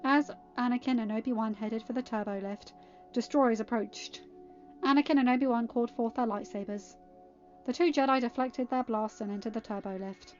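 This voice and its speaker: A woman reading a fan fiction story aloud as an amateur narrator, in an expressive scripted style. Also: fairly high pitch, normal speed. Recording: dull, band-limited audio with background music. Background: quiet music.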